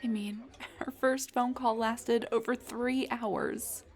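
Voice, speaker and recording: A woman's voice: on the high side, fairly even intonation, slow, faint music behind. A woman playing a shy, nervous dullahan on a blind date in an intimate audio roleplay, speaking in a flustered, hesitant, rambling way. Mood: playful, relaxed. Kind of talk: intimate scripted audio roleplay addressed to the listener.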